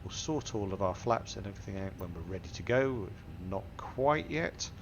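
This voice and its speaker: A low, gravelly man with a bunged-up nose from a cold, working through a cockpit setup step by step. He reads out each number and setting calmly as he goes. Steady aircraft hum sits clearly behind his voice. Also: fairly quick, muffled recording. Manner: methodical, talks through each setting aloud. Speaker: flight sim tutorial host. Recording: simulator engine and cabin noise behind. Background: aircraft simulator hum.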